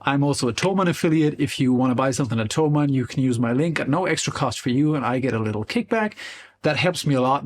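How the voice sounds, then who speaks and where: A man hosting a guitar speaker demo channel, talking casually to camera, sounding nasal as he comes down with a cold. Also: medium pitch. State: coming down with a cold.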